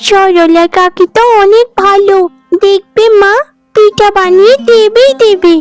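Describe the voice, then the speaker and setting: A woman voicing a playful, whiny little village girl in a cartoon, childish and excitable in manner. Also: extremely high pitch, unhurried, over music. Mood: pleading. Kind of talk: Bengali cartoon voice acting.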